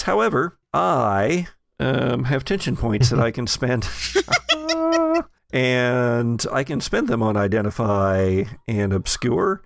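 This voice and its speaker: A man narrates a tabletop game as game master, feeling his way through improvised sentences. His voice has a gravelly fry and moves slowly. The sound is dry and close. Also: medium pitch, no room sound.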